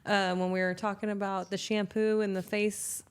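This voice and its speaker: A woman's voice: mid-range voice, normal speed, clear, resonant tone. Chill woman on a podcast, medium voice, chatty and laughing a lot. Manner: relaxed, chuckles often. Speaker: podcast co-host. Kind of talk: casual chat.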